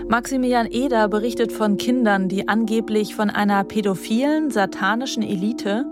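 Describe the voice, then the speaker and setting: Measured woman narrating a court report. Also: mid-range voice. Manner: measured, matter-of-fact. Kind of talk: scripted documentary narration.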